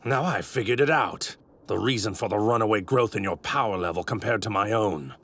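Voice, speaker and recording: An actor playing an arrogant, wrathful god in a stolen warrior's body, with haughty, theatrical, grandiose delivery in an anime dub. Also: male voice, lowish voice, fairly quick, close to an everyday voice. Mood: scornful realization. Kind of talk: anime dub villain acting. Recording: clearly noisy audio ripped from anime.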